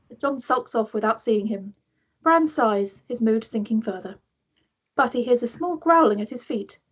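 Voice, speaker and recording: A woman's voice: on the high side, breathy edge, low-quality recording. A woman reading stage directions as the narrator of a script table read.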